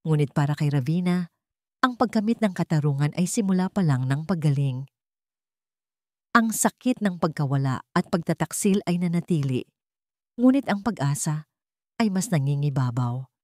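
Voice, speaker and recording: A woman narrates a scripted romantic drama in a fairly low voice. She tells the story in measured, short phrases. The sound is dry, with very little room in it. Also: lively intonation, warm tone, dry recording.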